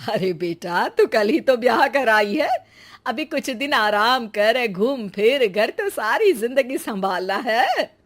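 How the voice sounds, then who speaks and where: A woman's voice: on the high side, expressive, takes it slowly. A woman voicing a kindly, teasing elderly mother-in-law who speaks in a plain, motherly way in a family story. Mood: caring. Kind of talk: acted Hindi family moral story.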